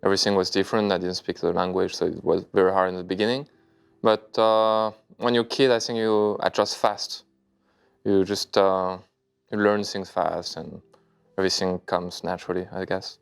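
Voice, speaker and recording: Deep creaky-voiced man, halting English. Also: flat delivery. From China. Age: 30s. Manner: halting, searching for English words. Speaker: interview guest, poker player. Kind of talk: casual interview answers.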